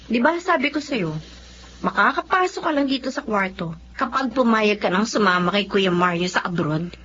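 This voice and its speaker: A woman's voice: mid-range voice, animated, normal speed, a bit bright, natural voice. A woman with a Filipino accent acting in a radio drama as an ambitious, emotional wife and mother with a pointed manner. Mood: nagging, scornful. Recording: muffled audio with faint background noise.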